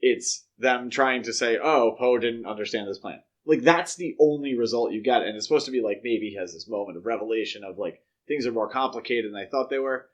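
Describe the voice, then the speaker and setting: A man with an average-pitched voice speaks as a podcast co-host. He offers casual film criticism, reasoning through his opinions in an analytical, conversational way. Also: a little fast, hardly any pauses, muffled recording.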